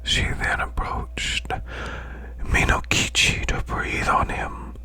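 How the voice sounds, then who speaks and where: A performer voicing a male demon boss who tells bedtime tales in ASMR style, in a hushed, breathy, growling whisper with slow, deliberate delivery. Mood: hushed, eerie.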